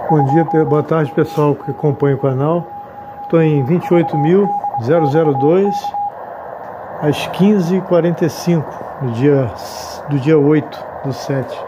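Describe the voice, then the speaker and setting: A man's voice: fairly high pitch, measured pace. A Brazilian man giving a casual hands-on vlog demonstration as an amateur radio host, recorded at home on a handheld device. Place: tiled enclosed home terrace room. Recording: loud radio noise and Morse tones. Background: radio static, Morse sidetone beeps.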